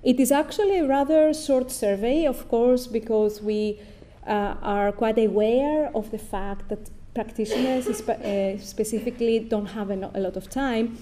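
A woman in her thirties with a Greek accent giving a conference presentation as an academic, explaining in a halting, self-correcting way. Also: a little high, dark tone, a little hiss or hum. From Greece. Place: indoor stone-walled venue, at a lectern. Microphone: lectern microphone.

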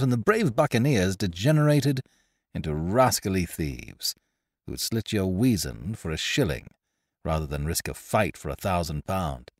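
A man's voice: low-pitched, a little mellow, dry recording, studio quality. A man voicing a reckless, jovial male sea adventurer who speaks in swaggering, old-fashioned seafaring talk. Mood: mocking. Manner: swaggering, archaic seafaring speech.

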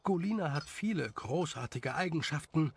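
A man's voice: a little high, lively intonation, normal speed, vocal fry, breathy edge. A man reading scripted story narration as the narrator of an audio tale.

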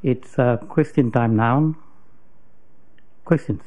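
A New man, the mayor, chairing a council meeting in a brisk, procedural way with the odd hesitant um. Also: medium pitch, background noise.

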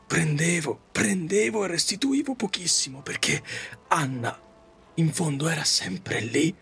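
A man performs a grieving, middle-aged taxi driver in an audio drama. His voice sits a little high for a man and halts as he confesses his regret. Music plays underneath. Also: creaky, a bit bright, exaggerated voice. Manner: halting, confessional, with repetitions. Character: grieving, regretful widowed male taxi driver, self-reproaching. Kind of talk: Italian audio drama monologue.

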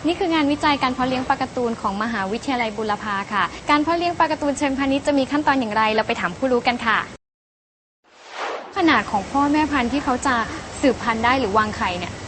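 A woman's voice: high voice, fast, over music. A woman working as a reporter, interviewing an expert on site with polite, inquisitive questioning. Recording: clear background noise on location.

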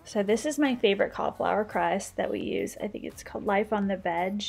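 A woman's voice: medium pitch, wildly swooping pitch, a little fast, gravelly, darkish tone, breathy edge, faint music behind. A woman in her thirties, a stay-at-home mom, giving a casual, practical voice-over as she cooks and shares kitchen tips. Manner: chatty, practical, explains tips as she goes.

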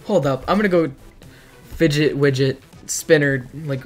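Hoarse teenage streamer. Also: male voice, on the high side, measured pace, soft background music. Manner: rambling, joking, reacts to chat. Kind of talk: casual livestream chat. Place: dim bedroom.

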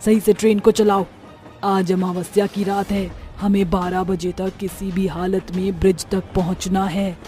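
A woman's voice: medium pitch, little pitch movement, a little slow, dark tone, soft background music, natural voice. A woman voicing a bossy, short-tempered witch in a horror cartoon, with a commanding manner. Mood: scolding.

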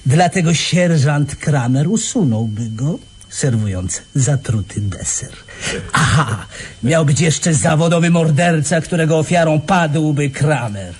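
A smug male police inspector, with a fairly high, very animated voice. He savours his words slowly with mock courtesy and theatrical gloating. There is faint noise on the recording. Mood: smug explaining. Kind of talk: radio play acting.

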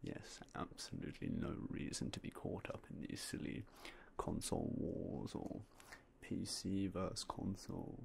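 A young man softly whispering in a polite ASMR roleplay as a game store clerk, close to a binaural mic. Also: low voice, little pitch movement, a little slow, gravelly, slight background noise. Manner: soft, polite, mostly unvoiced whispering.

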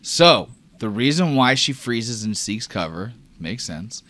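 A man's voice: medium pitch, sing-song, measured pace, pushed and bright. A man running a tabletop roleplaying game as game master, talking casually and swearing freely.

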